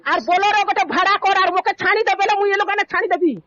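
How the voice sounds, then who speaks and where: A woman's voice: very high-pitched, steady tone, racing, sharp tone. A woman with a Sambalpuri accent argues on a recorded phone call, scolding and accusing insistently and repeating her phrases. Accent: Sambalpuri (western Odisha). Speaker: caller in a family dispute. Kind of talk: heated argument on a phone call.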